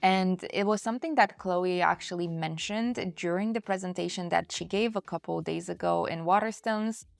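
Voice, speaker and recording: A woman's voice: on the low side, moderate pace, creaky, few pauses, no room sound. A woman hosting a book review in a chatty, conversational way, speaking directly to her viewers. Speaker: book reviewer and channel host.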